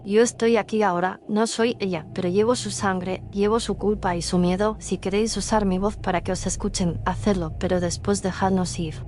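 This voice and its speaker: A woman's voice: mid-range voice, fairly even intonation, moderate pace, few pauses, a little mellow, close to an everyday voice. A woman from playing an indignant, haunted woman in a horror story. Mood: pleading, solemn. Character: indignant, haunted young woman, half possessed by guilt. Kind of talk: dramatized horror narration.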